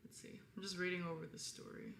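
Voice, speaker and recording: A young woman talks casually to her live stream viewers, candid and rambling. Her voice is bright and forward, recorded in an echoey room with a faint layer of noise behind it. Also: little pitch movement, quick pace, vocal fry. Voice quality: lower-toned voice. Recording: roomy echo, faint background noise.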